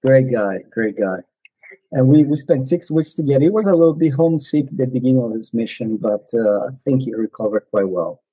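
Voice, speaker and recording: Non-native English-speaking man, mellow voice. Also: medium pitch, animated, brisk, husky, no echo, poor audio. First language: not English. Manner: hesitant, many fillers and restarts. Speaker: podcast guest, former missionary. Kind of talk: casual reminiscing interview.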